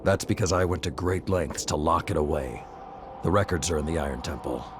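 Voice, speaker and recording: Grave, low-voiced old warrior lord. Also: male voice, a little fast, background noise. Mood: grave, firm. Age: older. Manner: grave, formal, commanding. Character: stern, battle-worn old male warrior lord. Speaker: commander. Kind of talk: dramatic video game dialogue.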